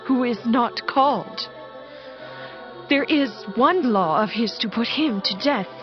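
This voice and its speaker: A young queen played by a woman in a scripture audio drama, solemn and earnest. Her formal voice sits high, over clearly heard music, on a slightly dull recording. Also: animated, slow pace. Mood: grave, anxious. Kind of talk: dramatized audio Bible acting, archaic English.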